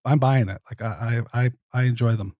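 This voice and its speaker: A man's voice: mid-range voice, a little fast, no room sound, highs cut off. A man speaking casually as a guest producer in a podcast discussion.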